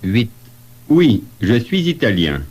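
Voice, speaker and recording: A man playing a polite traveller in a scripted language-lesson dialogue, giving deliberate answers. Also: medium pitch, sing-song, a little mellow, slightly rough, heavily acted. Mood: polite, matter-of-fact.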